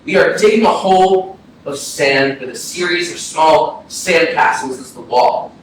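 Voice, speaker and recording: Man playing a troubled young man, high-pitched, echoey. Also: natural voice. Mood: reflective. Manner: hesitant, halting. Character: troubled, guilt-ridden young man, earnest and searching. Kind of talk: staged play reading. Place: arts center stage. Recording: very echoey hall, distant, faint noise.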